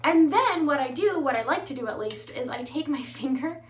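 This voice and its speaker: High-voiced chatty woman vlogger. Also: animated, normal speed, a little hiss or hum. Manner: chatty, upbeat, lots of "like" fillers. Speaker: makeup vlogger. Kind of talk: casual makeup tutorial. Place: at home. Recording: echoey room.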